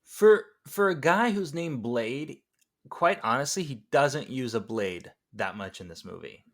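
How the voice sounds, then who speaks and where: A man's voice: mid-range voice, lively intonation, a little slow. A man chatting casually about films, animatedly retelling scenes, acting out lines and swearing freely. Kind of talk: casual movie discussion podcast chat.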